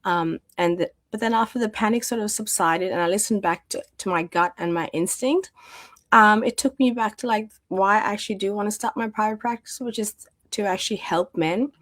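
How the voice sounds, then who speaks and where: A woman in her thirties, talking as an interview guest in a loose, chatty way with lots of fillers and restarts. Her voice is strained as she is losing it this week, and her pitch sits in a middle range. The sound is dry and close, with no room around it. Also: fairly quick, a bit bright, dry recording. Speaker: guest, domestic violence practitioner. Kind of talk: conversational interview storytelling.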